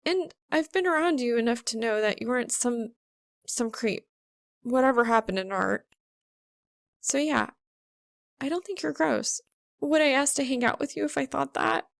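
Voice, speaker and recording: Woman acting young female student, storybook reading, raised pitch, earnest, flustered, hesitant, dry sound. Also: expressive, moderate pace, gravelly, long pauses, no echo. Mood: calm, reassuring. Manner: hesitant, breaking off mid-thought.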